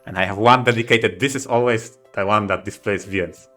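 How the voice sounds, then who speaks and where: A man co-hosting a podcast casually, speaking in halting, emphatic short phrases. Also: on the low side, fairly even intonation, pushed and bright, faint music behind. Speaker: podcast co-host.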